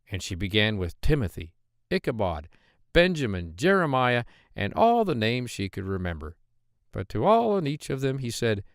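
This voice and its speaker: A man narrating a children's fairy tale, with expressive, measured storytelling. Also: mid-range voice, dry recording, studio quality. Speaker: audiobook narrator.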